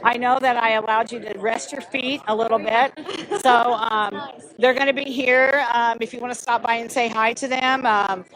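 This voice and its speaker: A woman's voice: on the high side, fairly even intonation, normal speed, a bit bright, poor audio. A woman hosting chatty live interviews in a rambling, encouraging way. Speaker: interview host. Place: booth at a busy indoor trade show. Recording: busy crowd noise behind. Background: crowd chatter.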